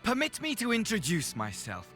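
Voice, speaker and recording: A man's voice: high-pitched, wildly swooping pitch, moderate pace, a bit bright, soft background music, full character voice. A man playing a gleefully nihilistic, mocking video game villain with a theatrical, mock-courteous showman's manner. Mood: mocking delight. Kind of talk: video game villain acting, grandiose monologue.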